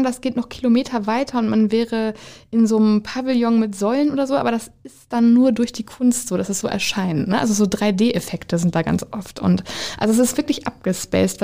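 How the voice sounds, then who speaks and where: A woman's voice: a little high, warm tone. A woman travel blogger chatting casually and enthusiastically, with many fillers and little tag questions. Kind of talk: casual radio chat.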